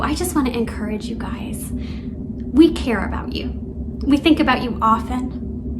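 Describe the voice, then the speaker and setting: A woman hosting a concert series, speaking to viewers in an earnest, encouraging way. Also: on the high side. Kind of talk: warm spoken host address to viewers. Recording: clear background noise.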